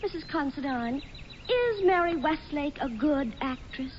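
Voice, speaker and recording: A woman's voice: high voice, lively intonation, unhurried. An actress in a 1940s radio comedy playing a jealous, earnest young woman who speaks fretfully. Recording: muffled, noisy old radio broadcast.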